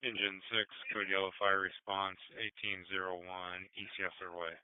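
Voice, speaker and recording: Low monotone male dispatcher over tinny radio. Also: moderate pace, smooth, dry recording. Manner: flat, procedural, clipped phrases. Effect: two-way radio. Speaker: fire and medical dispatcher. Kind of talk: terse emergency radio dispatching. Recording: narrow tinny police scanner radio feed.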